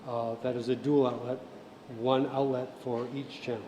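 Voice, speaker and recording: Guy teaching how gear works, step by step w/ pauses, echoey room, bit of noise. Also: mid-range voice, flat delivery, darkish tone. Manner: deliberate, methodical, with long pauses mid-sentence. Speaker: instructor giving an equipment tutorial. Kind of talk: informal hands-on technical instruction. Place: indoor set room by a theater stage. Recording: roomy echo with faint background noise.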